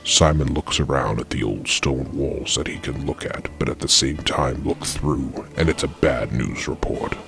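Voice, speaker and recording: A man narrating a comic audio drama as a storyteller, with a deadpan mock-epic delivery. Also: very deep voice, normal speed, creaky, hardly any pauses. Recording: dramatic music behind the voice.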